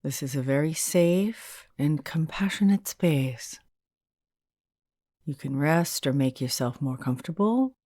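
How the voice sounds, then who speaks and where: A woman leading a guided meditation as a hypnotherapist, in a soothing, gentle and unhurried manner. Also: low voice, expressive, dry recording, studio quality.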